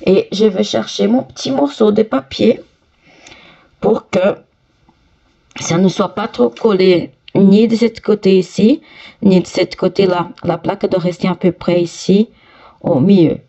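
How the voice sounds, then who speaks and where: A woman with a cold, her voice stuffy, slowly talking viewers through each step of fitting a part on a sewing machine. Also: mid-range voice, creaky, mellow, highs cut off. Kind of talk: step-by-step workshop demonstration.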